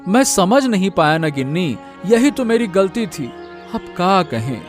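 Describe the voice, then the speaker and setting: High voiced village farmer dad guy acting, rustic, protective, very animated, cartoon story. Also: unhurried, soft background music. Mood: resolved, rueful. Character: gruff, repentant middle-aged farmer father, protective of his daughter.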